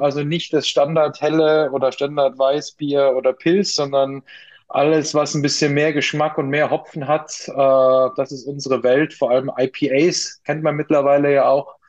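A man in his forties with an Austrian accent, answering casually as an interview guest and often hesitating. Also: on the high side. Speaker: interview guest, brewery co-founder. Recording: dull, lo-fi interview audio.

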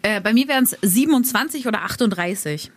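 A woman's voice: medium pitch, animated, noisy background. A woman in her thirties, a radio host, talking emphatically in casual podcast chat. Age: 30s. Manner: quick, emphatic, repeats words.

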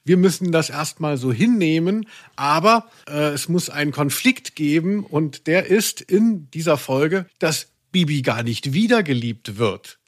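A man's voice: on the high side, animated, unhurried, hardly any pauses. A man hosting a podcast in a reflective, conversational style, earnest and analytical with some playful irony. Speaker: podcast host.

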